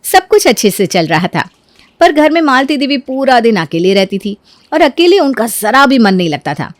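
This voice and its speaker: A woman's voice: a little high, big pitch swings, normal speed, dry recording. A woman narrating a scripted family moral story as a storyteller.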